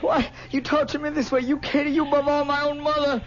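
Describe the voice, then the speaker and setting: A man's voice: very high-pitched, flat delivery, fast talker, slight background noise. A young actor playing a haunted, guilty man who stammers and pleads, in a 1970s radio drama. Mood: shaken. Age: young adult. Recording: dull 1970s radio broadcast with music.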